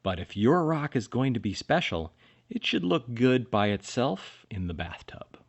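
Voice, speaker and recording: Male storybook reader, very animated intonation, expressive emphasis for young listeners, lo-fi muffled sound. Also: mid-range voice. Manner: dramatic pauses and emphasis for children. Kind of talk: expressive children's picture-book read-aloud.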